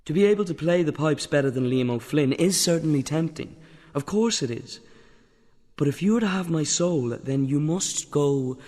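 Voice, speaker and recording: Male actor as a wary piper bargaining, fairly high voice, echoing reverb. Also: expressive, moderate pace, darkish tone.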